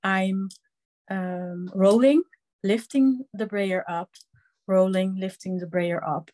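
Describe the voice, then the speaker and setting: Slow, hesitant Dutch-accented woman teaching crafts. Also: mid-range voice, mellow, a little hiss or hum. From Netherlands. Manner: slow, halting, many ums, hands-on explaining. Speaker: craft instructor. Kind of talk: live online craft class demonstration.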